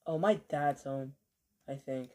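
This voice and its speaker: A man's voice: medium pitch, measured pace, mellow, slight background noise. A man chatting casually to his stream while he plays, rambling with lots of fillers and offhand swearing, keyboard clicks behind him. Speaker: game streamer.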